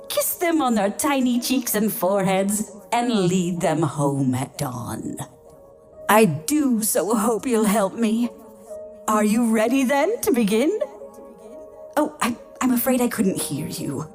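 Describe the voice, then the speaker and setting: Woman playing a theatrical ghostly nanny, unhurried and swooping. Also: mid-range voice, a little mellow. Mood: playful, doting. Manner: theatrical, coaxing, addressing young children. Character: genteel ghostly nanny, sweet, theatrical, doting on little spirits. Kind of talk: storytelling host of spooky children's audio show.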